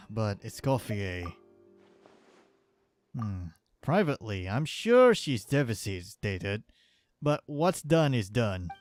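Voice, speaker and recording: A woman's voice: rumbling low, very animated, very slow, long pauses, a little mellow, no echo, soft background music. A woman reacting to a video game on a live stream in casual, mock-dramatic, sweary commentary.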